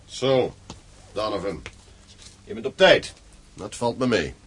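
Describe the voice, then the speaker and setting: A man's voice: on the low side, animated, slow, slight background noise. An actor in a radio play playing a stern, domineering middle-aged father who owns a mine, commanding and reproachful. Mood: irritated, commanding. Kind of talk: Dutch radio play acting.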